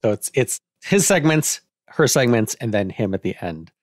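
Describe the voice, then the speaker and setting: A man's voice: medium pitch, sing-song, normal speed, dry recording, studio quality. A man talking casually as a podcast co-host, animatedly thinking aloud through ideas in a brainstorming discussion.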